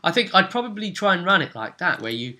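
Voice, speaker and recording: A man's voice: high voice, sing-song, fast, bright, forceful tone. A man talking casually through a tabletop roleplaying recap as game master, thinking aloud and checking with tag questions.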